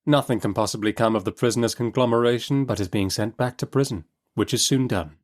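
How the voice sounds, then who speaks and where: A man's voice: medium pitch, fairly quick. Man narrating a classic novel. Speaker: narrator and host. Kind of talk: dramatic literary audiobook narration.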